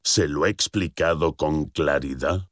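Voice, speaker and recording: A man playing a stern, imposing social worker who speaks in a measured, formal way. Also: rumbling low, no echo, studio quality.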